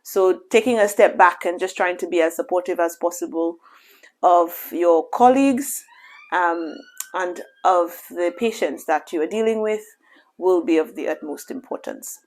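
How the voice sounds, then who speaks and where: A woman from Lucia, a doctor giving measured, reassuring career advice in a casual vlog, often saying "you know.". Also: fairly low pitch.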